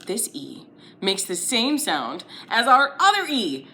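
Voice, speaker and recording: A woman's voice: medium pitch, wildly swooping pitch, takes it slowly, bright, forceful tone. A woman leading a children's story time lesson, playful and exaggerated, encouraging little listeners with questions and sing-along phrases.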